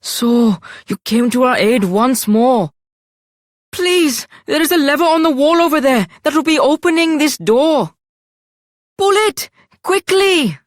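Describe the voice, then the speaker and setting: A woman's voice: high-pitched, animated, measured pace, dry recording, studio quality. A woman playing an earnest, devoted young lover in a video game, speaking in formal, effusive phrases. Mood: urgent. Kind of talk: theatrical video game voice acting.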